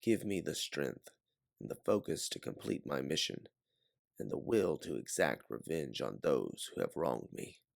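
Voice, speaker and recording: Self-reliant female bounty hunter read by a man, low pitch, dry sound, curt defiant audiobook delivery. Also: sing-song, a little slow, creaky, no room sound, exaggerated voice. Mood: reverent, determined. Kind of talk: audiobook character voice.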